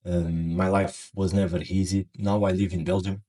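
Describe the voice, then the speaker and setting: Male trading coach, Portuguese accent, deep, level delivery, hesitant and filler-heavy. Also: little pitch movement, warm tone. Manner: halting, many fillers and restarts. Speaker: forex trading educator. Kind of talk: casual advice monologue.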